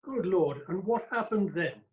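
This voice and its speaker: Man acting a high-voiced, astonished magistrate. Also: animated, a little slow, dull audio. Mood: shocked. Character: excitable, easily astonished male magistrate in a comic courtroom. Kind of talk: comic courtroom radio play acting.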